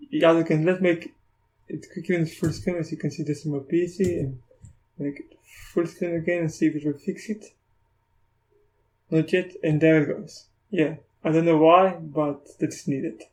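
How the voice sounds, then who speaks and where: A South African man whose first language is Afrikaans, casually talking through a screen recording of software, often stopping and restarting. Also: fairly high pitch, low-quality recording. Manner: halting, restarts often, thinks aloud. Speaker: tech YouTuber.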